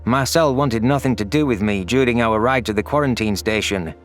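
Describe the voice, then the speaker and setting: A man's voice: medium pitch, fairly quick. Man narrating science fiction over faint music. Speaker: audio story narrator. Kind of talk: scripted first-person science fiction narration. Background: faint background music.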